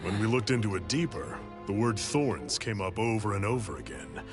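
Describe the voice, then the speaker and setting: An actor voicing a wary young fighter in a video game, probing with questions. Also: male voice, lowish voice, sing-song, moderate pace. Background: game music.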